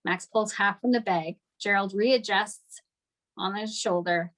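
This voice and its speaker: A woman's voice: mid-range voice, unhurried, a bit bright. A woman narrating the stage directions of a screenplay at a table read.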